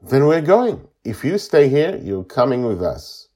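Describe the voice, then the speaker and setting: A determined ten-year-old girl adventurer, played by a male storyteller. The pitch is lifted well up, with a husky grain, and the delivery is firm and insistent. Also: wildly swooping pitch, unhurried, darkish tone, exaggerated voice. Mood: stern.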